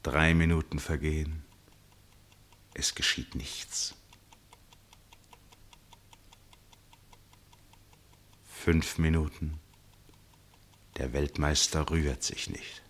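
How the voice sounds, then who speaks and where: An actor playing an absorbed first-person narrator in a literary radio play, measured and suspenseful, with deliberate pauses and a husky voice. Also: male voice, a bass voice, steady tone, a bit bright, full character voice. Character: absorbed male first-person narrator watching a tense match.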